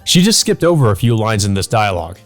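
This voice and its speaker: Low-voiced man doing a let's play. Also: very animated, fast, faint music behind. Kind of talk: reading visual novel aloud with casual commentary.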